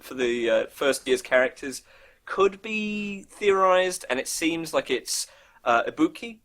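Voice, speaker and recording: A man's voice: a little high, expressive, unhurried, a bit bright. Informal, jokey man on a gaming podcast. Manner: informal, jokey, swears casually. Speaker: co-host presenting video game news. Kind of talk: casual unscripted podcast chat.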